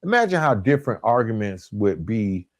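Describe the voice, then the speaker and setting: Low-voiced man giving an emphatic motivational talk. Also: sing-song, no room sound. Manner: emphatic, instructional, with deliberate pauses and stressed key words. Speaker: podcast host. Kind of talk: motivational self-help monologue.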